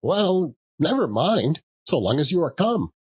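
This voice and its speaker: A man voicing a warm, fussing working-class mother in a storybook reading. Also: fairly high pitch, sing-song, a little slow, breathy edge, pitched above the performer's own voice, exaggerated voice. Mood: affectionate scolding.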